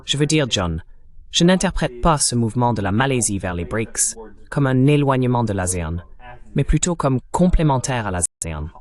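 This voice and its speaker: A man's voice: mid-range voice, big pitch swings, moderate pace. A man delivering a French voice-over translation of an interview, speaking as an interpreter for the participants.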